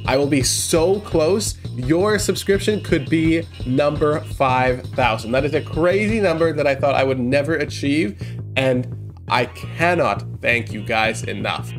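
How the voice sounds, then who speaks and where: Stuffed-up young man with a gravelly voice. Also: fairly high pitch. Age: young adult. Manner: chatty, hyped, rambling. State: congested, stuffed up from a cold. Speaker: reaction channel host. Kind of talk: casual reaction commentary. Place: small room indoors. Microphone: headset mic.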